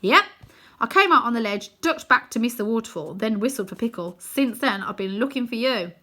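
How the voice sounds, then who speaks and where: Woman voicing a bossy, bright-voiced stubborn girl. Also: fairly high pitch, lively intonation, fairly quick, pitched above the performer's own voice. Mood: unimpressed, sceptical. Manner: firm, sceptical, exasperated. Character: stubborn, bossy, scornful girl, a twin sister.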